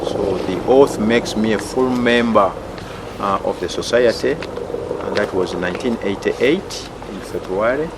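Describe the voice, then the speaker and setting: A man in his sixties from Uganda, a missionary priest answering interview questions at an easy pace, with frequent fillers and quiet chuckles. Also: fairly low pitch, unhurried, heavy background noise. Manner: hesitant, many fillers, chuckles often.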